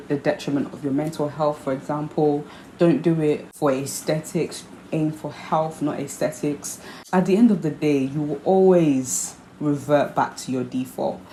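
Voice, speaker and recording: A woman's voice: low-pitched, mellow, a little hiss or hum. A young woman vlogger from Nigeria with a hybrid Nigerian accent, chatting earnestly to camera and explaining her points by repeating them. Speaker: vlogger host. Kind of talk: casual chat to camera. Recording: echoey room with faint ambience.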